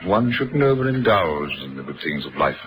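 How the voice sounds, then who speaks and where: An actor playing a smooth, cynical male villain who makes sardonic, controlled threats in a vintage radio drama. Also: a bass voice, sing-song, moderate pace, a bit bright, exaggerated voice. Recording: slightly noisy vintage radio recording.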